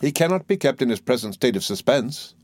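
A man's voice: mid-range voice, sing-song, a little fast, no echo, voice pushed up. A man reading aloud, voicing a fussy, garrulous older mother who is pleased with herself. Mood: self-satisfied. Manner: gushing, emphatic, self-important.

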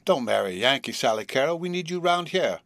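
Man acting a plaintive young Southern fellow, raised pitch. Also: expressive, fast, fairly bright tone, no room sound, studio quality. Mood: probing. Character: easygoing young Southern man, persistent, lovelorn.

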